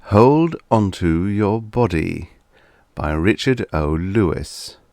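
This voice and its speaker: A man's voice: deep voice, no room sound, clean studio sound. A man reading vintage fiction aloud as an audiobook narrator, in a scripted storytelling style.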